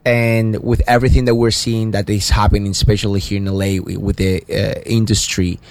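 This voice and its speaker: A man's voice: on the low side, little pitch movement, fairly quick. A man with a Venezuelan accent, a theater guest speaking earnestly in a casual interview and sometimes searching for words.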